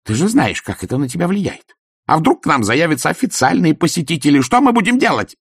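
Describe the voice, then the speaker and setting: A man voicing an imperious, scornful aristocratic old baroness who scolds in a haughty, sharp manner. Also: mid-range voice, wildly swooping pitch, moderate pace, gravelly, breathy edge, no echo, clean studio sound, voice pushed up, exaggerated voice. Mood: scolding. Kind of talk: audiobook character voice.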